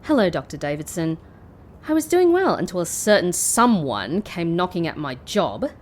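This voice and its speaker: Guarded, sardonic ex-agent, lowish voice. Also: female voice, very animated, slight background noise. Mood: wry, measured. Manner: polite, measured, dry wit. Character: guarded, sardonic woman, former secret agent turned call-centre worker. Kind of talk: audio drama acting.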